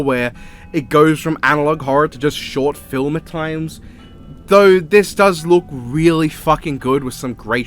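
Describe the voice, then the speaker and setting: A man's voice: a little high, a bit bright. An Australian man giving a casual, opinionated and sweary video-essay review as a voice-over.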